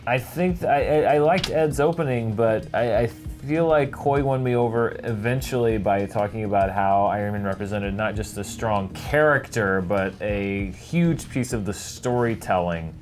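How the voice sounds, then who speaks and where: A man judging on a casual debate panel, explaining his decisions in a measured, somewhat hesitant way. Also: lowish voice, faint music behind. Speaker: judge on a debate show panel.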